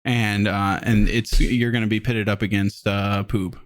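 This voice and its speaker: A man's voice: lowish voice, measured pace, dry recording. A man hosting a casual podcast chat, laughing often and talking loosely. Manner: laughing, lively, swearing. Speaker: podcast host.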